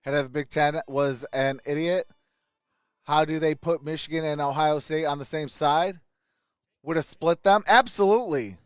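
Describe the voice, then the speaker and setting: A man's voice: on the high side, normal speed, smooth, dry recording, clean studio sound. A man hosting a sports livestream, mixing casual play-by-play with chat in an emphatic way.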